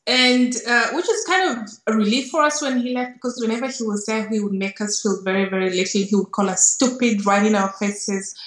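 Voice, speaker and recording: A woman with a Kenyan accent shares personal memories as an interview guest. She sits at a medium pitch and leans on repeated words for emphasis. The sound is a roomy video call. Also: a little fast, few pauses, fairly bright tone. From Kenya, grew up in Nairobi. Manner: reflective, emphatic repetition. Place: small indoor room on a video call. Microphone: earphone headset.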